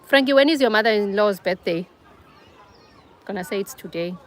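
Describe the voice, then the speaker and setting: A woman's voice: mid-range voice, moderate pace, a little hiss or hum. A young South African woman with a South African accent, hosting a casual livestream and chatting with viewers, teasing them by name. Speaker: livestream host. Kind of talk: casual live chat with viewers.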